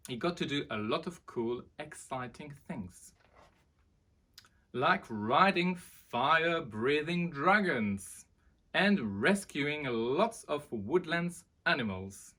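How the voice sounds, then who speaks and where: Brief: male children's storyteller, unhurried delivery, lively swings in pitch. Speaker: storyteller presenting a children's book. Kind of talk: expressive storybook reading for children.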